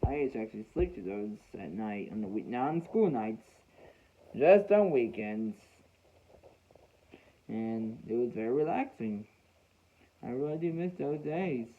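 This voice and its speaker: A man talking casually and reminiscing to viewers as a hobbyist host, in a halting way with repeated phrases. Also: mid-range voice, lively intonation, slight background noise. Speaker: weather radio hobbyist hosting a video. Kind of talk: casual, reminiscing vlog talk. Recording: dull, lo-fi home recording.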